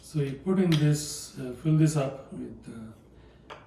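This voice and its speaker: Soft-spoken older man from South India. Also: fairly high pitch, vocal fry. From Palakkad, South India. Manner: slow, soft-spoken, hesitant with fillers. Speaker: guest, home cook. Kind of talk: casual demonstration chat. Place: home kitchen. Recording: echoey room from a distance, faint noise.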